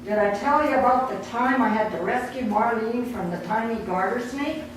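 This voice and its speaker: Storybook husband, played by a woman: jovial tease, lively delivery, distant and very reverberant. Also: a little high, moderate pace, voice pushed up, natural voice. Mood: teasing, amused. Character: joking, chuckling husband teasing his wife. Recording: echoey room, distant mic, noisy background.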